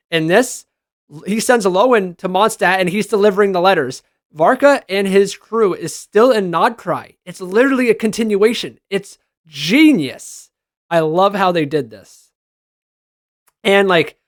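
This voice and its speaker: A man's voice: high voice, no room sound. Male game reviewer, upper-register voice, informal and enthusiastic fan delivery, very dry sound. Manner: informal, gushing fan talk. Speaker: video game reviewer and reaction host. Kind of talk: casual enthusiastic commentary over screenshots.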